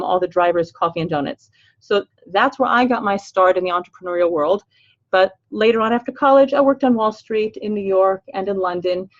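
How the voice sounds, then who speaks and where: A woman's voice: medium pitch, lo-fi sound. An older woman with an American accent who grew up in Los Angeles, hosting a webinar as a financial planner in a chatty, upbeat way with everyday fillers. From Los Angeles, USA. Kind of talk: webinar presentation.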